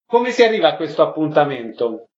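A man's voice: fairly high pitch, very animated, brisk. A man hosting a radio interview, putting questions to a guest. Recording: dull, roomy, echoing sound.

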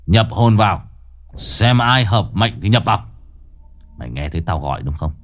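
A man voicing a stern, arrogant exorcist master who talks in a gruff, crude, commanding way. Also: on the low side, animated, moderate pace, lightly acted.

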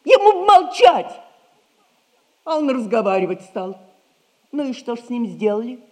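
A woman's voice: fairly high pitch, sing-song, normal speed, warm tone. An actress playing a meek, worried townswoman who laments in folksy fashion in a theatrical 1960s audio play. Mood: plaintive. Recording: reverberant hall recording.

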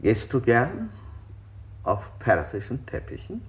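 An actor playing a mock-courteous chief interrogator in a radio play, putting pointedly polite, leading questions. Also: male voice, medium pitch, huge pitch swings, takes it slowly, background noise. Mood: falsely solicitous. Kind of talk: avant-garde radio play acting.

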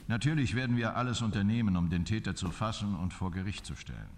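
Actor as male politician, very low voice, formal, measured, statesmanlike, radio drama. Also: a little hiss or hum, lightly acted. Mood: solemn. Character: composed male head of government, practised politician.

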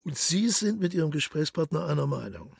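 An actor playing a mysterious, courteous foreign gentleman who is the devil in disguise, husky and politely probing in a radio drama. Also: on the high side, big pitch swings, a little fast, no echo, clean studio sound, full character voice. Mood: politely intrigued.

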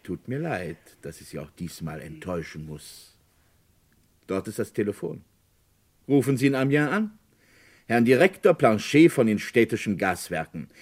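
An actor playing a composed, courteous village notary under suspicion in a radio play, speaking politely and deliberately with a husky voice. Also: male voice, lowish voice, big pitch swings, darkish tone. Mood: smooth, assured. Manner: polite, deliberate, halting explanations.

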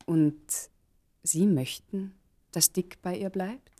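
An actress in a German radio play playing a poised, determined mother, speaking emphatically and deliberately. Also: lowish voice, mellow. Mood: earnest, frank. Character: poised, determined mother resolving to test her lover.

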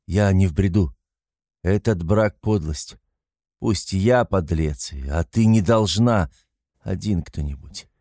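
A man's voice: sits low, slow, warm tone, no room sound, clean studio sound, full character voice. A man voicing a feverish, irritable young man in an audiobook, a proud and domineering brother who speaks in a strained, curt, imperious way. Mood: insistent, defiant.

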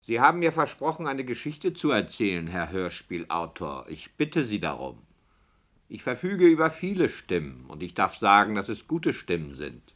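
Casting: a cautious, skeptical director in an old radio play, lowish voice with a lively melody. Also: male voice, moderate pace. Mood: wary. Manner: prim, questioning, skeptical. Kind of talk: 1960s radio play acting.

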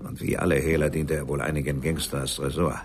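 An actor playing a gruff, discreet police inspector in a crime radio play, terse and matter-of-fact. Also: male voice, extremely low pitch, little pitch movement, quick pace. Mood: terse, confidential.

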